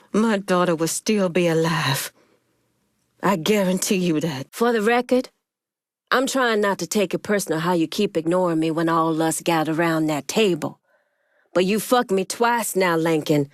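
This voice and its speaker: A woman playing a tough, proud crime boss in a video game, with an American accent and a measured, pointed, streetwise delivery. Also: medium pitch, dry recording, clean studio sound, close to an everyday voice. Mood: grieving, bitter. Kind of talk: video game character dialogue.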